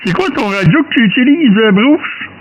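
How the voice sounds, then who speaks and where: A man's voice: very high voice, expressive, moderate pace, lo-fi sound. A man with a Quebec French accent chatting casually and hesitantly, teasing his friends as he leads an amateur radio round. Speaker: amateur radio operator leading a round-table contact. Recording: radio chatter with faint noise and clicks.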